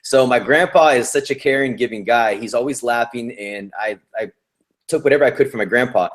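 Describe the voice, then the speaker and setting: A man speaking at a middle pitch, upbeat and full of slang as he chats as a nurse guest on an interview. He sounds encouraging and easygoing throughout. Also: brisk. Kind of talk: casual interview chat.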